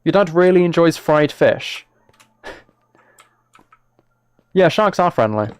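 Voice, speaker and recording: A man's voice: fairly high pitch, expressive, takes it slowly, clear, resonant tone, no echo. A British man chatting casually on a game livestream, talking to viewers in a mock-exasperated, self-deprecating way. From United Kingdom. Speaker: live streamer.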